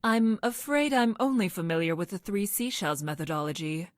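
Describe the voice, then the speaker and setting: A woman playing a logical young woman scientist from the future in a video game, speaking in formal, clinical, precise deadpan. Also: mid-range voice, moderate pace, dry recording, studio quality, lightly acted. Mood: deadpan affection. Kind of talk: video game character voice lines.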